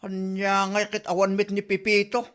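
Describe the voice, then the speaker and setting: A man's voice: sits high, monotone, moderate pace, fairly bright tone. Man playing a grumbling Ilocano villager. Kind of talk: comedy drama acting. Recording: faint background music.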